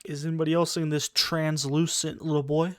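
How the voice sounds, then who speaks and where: A woman's voice: deep voice, level tone, fairly quick. A woman giving casual, sarcastic and blunt commentary as she plays a game. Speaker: gaming streamer.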